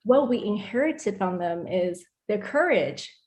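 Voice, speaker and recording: A woman's voice: medium pitch, animated, measured pace, darkish tone. Effusive woman in spiritual conversation. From Taiwan. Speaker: host of a spiritual conversation series.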